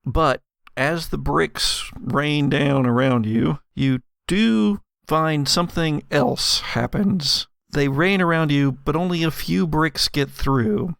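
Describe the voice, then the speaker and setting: Gravelly male game master, close and dry. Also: on the high side, slow, a bit bright, no echo. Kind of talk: narrating a tabletop role-playing game. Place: dry home studio.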